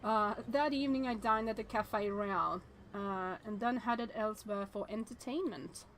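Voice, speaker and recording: A woman streaming a game with casual, expressive commentary, thinking aloud and reading on-screen text as she goes. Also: medium pitch, normal speed, slight background noise, rough recording.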